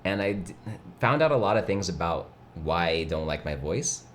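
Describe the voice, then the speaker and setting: A young man whose first language is Mandarin, chatting casually on a livestream with a nasal, vocal-fry voice and a laid-back, rambling manner. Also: deep voice, very animated, normal speed, fairly bright tone, a little hiss or hum. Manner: laid-back, rambling, reads chat aloud. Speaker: livestream host. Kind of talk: casual chat.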